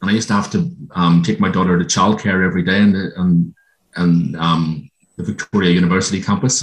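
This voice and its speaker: Deep-voiced Irish man in a roomy space. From Ireland. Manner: reflective, halting with ums. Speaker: guest, former gambling addict. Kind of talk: interview. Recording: roomy echo.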